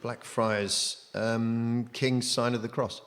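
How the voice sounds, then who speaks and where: A man's voice: medium pitch, little pitch movement, slow. A man with a dry, needling manner, bantering as a panellist on a comedy game. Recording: echoey theatre, loud audience and noise.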